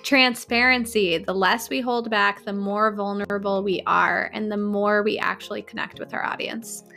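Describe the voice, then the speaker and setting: A woman co-hosting a business podcast, giving quick tips in an upbeat, direct way. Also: medium pitch, fairly even intonation, creaky, sharp tone, faint music behind. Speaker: podcast co-host. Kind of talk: rapid-fire podcast tips.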